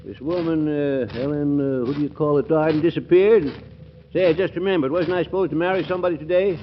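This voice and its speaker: An actor playing a crotchety, absent-minded old judge in a 1940s radio comedy, testy and muttering, on a muffled, noisy recording. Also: male voice, fairly high pitch, steady tone, fast, natural voice. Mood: testy, impatient. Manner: testy, muttering, stumbling over long words.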